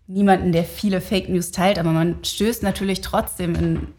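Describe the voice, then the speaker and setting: A woman's voice: on the low side, fairly even intonation, moderate pace, darkish tone, a little hiss or hum. A woman giving conversational interview answers, thinking aloud in an informal, explanatory way. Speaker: interview guest, researcher.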